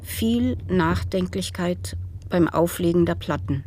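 A woman narrating a documentary radio play in a measured, matter-of-fact manner. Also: lowish voice, background noise. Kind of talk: scripted narration.

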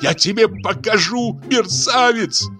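A man voicing a pompous, blustering middle-aged locksmith who shouts with sneering irony. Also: high voice, expressive, slow pace, faint music behind, voice pushed up, full character voice.

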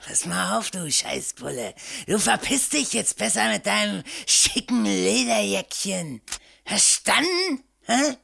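A woman's voice: mid-range voice, animated, slow pace, vocal fry, a bit bright, heavily acted. A woman playing a coarse, cowardly biker club thug who blusters and whines, in an amateur audio drama. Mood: surly, menacing. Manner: coarse, blustering turning to whining. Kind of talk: amateur pulp horror audio drama acting.

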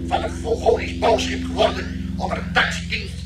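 An actor in a radio drama playing a surly, officious alien starship captain, making a stern, self-important announcement. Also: male voice, high voice, fairly even intonation, moderate pace, loud music behind the voice, natural voice. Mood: pompous, bitter. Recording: distant, heavy ship noise behind.

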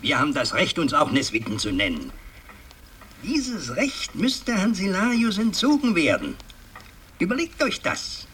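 An actor playing an imperious, scheming old aristocratic patriarch in a radio drama, declamatory and halting, moving between command and muttering. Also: male voice, fairly high pitch, fairly bright tone, slightly rough, heavily acted. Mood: stern, halting. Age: older. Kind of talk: 1960s German radio drama acting.

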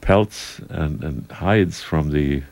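Very deep gravelly man, halting. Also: darkish tone. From Germany. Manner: halting, repeats words, laughs easily. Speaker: radio co-host. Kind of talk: casual radio chat.

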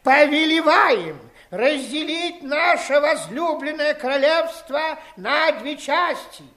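A fussy, fawning court official in a fairy-tale radio play. The voice is high and wildly swooping, drawn out with pompous ceremony and easily flustered. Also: female voice, expressive, slow pace, fairly bright tone, full character voice. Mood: officious.

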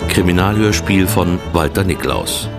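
A man's voice: low-pitched, moderate pace. A man announcing the title of a radio drama. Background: loud string music.

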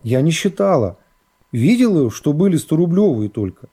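A young woman defendant played by a man testifies in a radio reading. The voice is high and soft, with plain, artless phrasing. She sounds simple and worn down, yet defiant. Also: very animated, moderate pace, slightly rough, exaggerated voice. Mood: weary, explaining. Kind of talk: dramatised literary reading.

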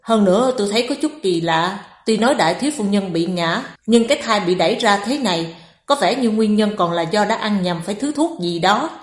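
Stern, sober male doctor for audiobook drama, read by a woman: lowered tone, measured delivery, echoey room. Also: expressive, lightly acted. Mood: grave regret. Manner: serious, measured, sighing. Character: grave, sighing male family doctor.

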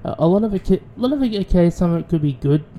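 Man, Australian accent, radio host, rambling monologue, swears, many restarts, very dark mellow voice. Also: high voice, animated, rapid-fire, muffled recording, slight background noise.

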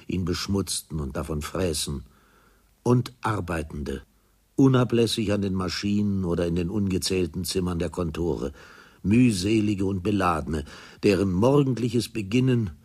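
An actor as the narrator of a literary radio drama, grave and deliberate in delivery. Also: male voice, low voice, a little mellow, husky. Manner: solemn, deliberate.

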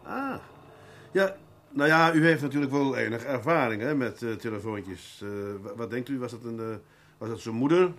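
A man playing a shrewd, seasoned police detective in a radio drama, speaking deliberately with thoughtful hesitations in a fatherly way. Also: mid-range voice, fairly bright tone, close to an everyday voice. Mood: polite, probing. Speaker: actor in a detective radio play. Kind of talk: naturalistic radio drama dialogue. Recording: audible background noise.

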